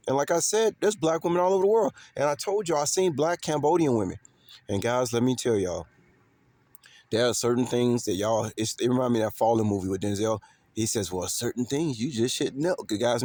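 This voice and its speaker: A man's voice: mid-range voice, a little mellow, no echo. A man from talks off the cuff to his viewers, emphatic and repetitive, with street slang and swearing. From Chicago, United States. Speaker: vlogger. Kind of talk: casual unscripted monologue to viewers.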